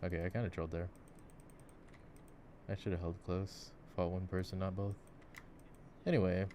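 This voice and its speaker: A man chatting reflectively and intimately in an ASMR gameplay style, thinking out loud. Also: low voice, level tone, normal speed. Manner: reflective, musing. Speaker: ASMR voice actor playing games. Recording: clicking mouse and keyboard noise. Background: mouse clicks and keyboard typing.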